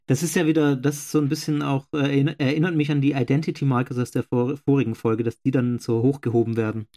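A young man with a regional German accent co-hosting a casual podcast, agreeable, affirming often and pausing on fillers. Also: mid-range voice, flat delivery, warm tone. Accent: regional German, not standard. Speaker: podcast co-host. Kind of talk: casual debate chat.

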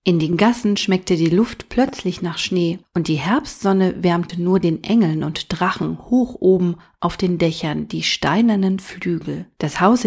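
A woman narrating a children's novel as an audiobook, with a calm, even storytelling delivery. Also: fairly low pitch, breathy edge.

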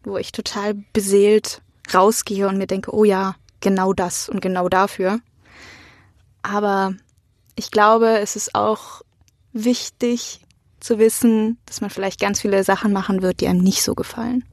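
A woman's voice: mid-range voice, flat delivery, a little slow, dry recording. Young woman chatting casually, dry sound. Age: young adult. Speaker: interviewee, incoming acting student. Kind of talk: casual, reflective conversation.

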